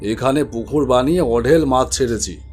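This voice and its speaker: A man's voice: medium pitch, moderate pace, darkish tone, slightly rough. A man narrating a spooky cartoon story in a dramatic, suspenseful way.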